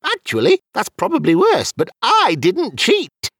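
Elderly male wizard as acted by a narrator, folksy, sheepish, quibbling, high pitch, swooping delivery, fantasy storybook. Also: wildly swooping pitch, normal speed, husky, dry recording, studio quality, full character voice. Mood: indignant. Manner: folksy dialect phrasing.

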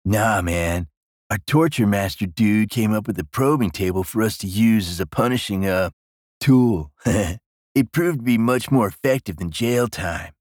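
A man's voice: fairly low pitch, measured pace, no room sound. Android king, male narrator acting: slangy, bossy, dim, cocky; comic audiobook, close dry sound. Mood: casual. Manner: slangy, bossy, laid-back.

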